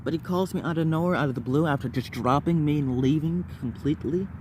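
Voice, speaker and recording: A man's voice: fairly high pitch, quick pace, noisy background. A man telling personal stories casually to viewers, rambling and repeating words and phrases in bursts. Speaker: vlogger.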